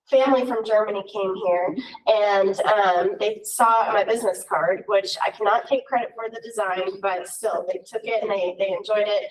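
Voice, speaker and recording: Chatty woman heard from across a room. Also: mid-range voice. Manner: chatty, laughs easily. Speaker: museum staff member giving a report. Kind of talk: casual meeting report. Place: meeting room. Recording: distant room mic at a meeting.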